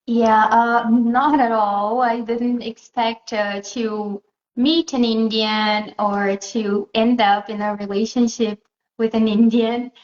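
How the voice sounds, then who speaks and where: A woman answers an interviewer's questions at a slow pace, stopping often to search for words. Her voice sits a little high. It reaches us through a muffled recording, and the room is echoey. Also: little pitch movement. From Southeast Brazil. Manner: hesitant, pauses often to find words. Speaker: interview guest.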